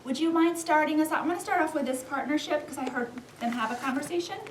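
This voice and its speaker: A woman's voice: high voice, quick pace. A woman leading young children through a class discussion, guiding them with questions and countdowns in an encouraging way. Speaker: first-grade teacher leading a class discussion. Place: indoor elementary school classroom. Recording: echoey classroom, distant mic, faint noise.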